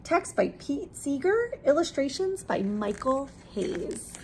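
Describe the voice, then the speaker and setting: A woman teacher reading a picture book aloud expressively, pausing to explain things in simple words for young children. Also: high-pitched, big pitch swings, slight background noise.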